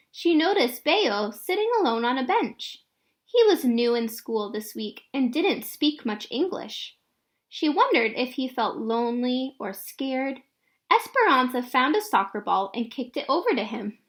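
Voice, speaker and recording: A woman narrates a children's storybook aloud. Her voice sits high and moves through a lively, wide range of pitch, in an expressive read-aloud style. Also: a little slow.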